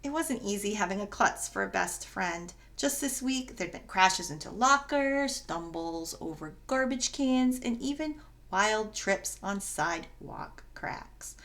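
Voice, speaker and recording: A woman reading a children's story aloud as narrator, in an expressive, dramatic and emphatic way. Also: medium pitch, unhurried, hardly any pauses. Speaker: story reader.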